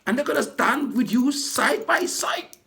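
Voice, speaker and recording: A man who works as a ride-hailing driver, hoarse from losing his voice, delivering an indignant, repetitive rant to camera for his vlog. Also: extremely high pitch, expressive, a little slow, pushed and bright. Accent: British. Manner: indignant, repetitive, building to impassioned outbursts.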